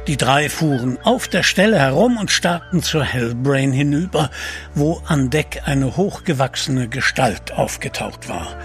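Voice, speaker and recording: A man's voice: medium pitch, animated, unhurried, a bit bright. A man narrating a scripted sea adventure audio drama as its storyteller. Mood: storytelling. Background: music behind the voice.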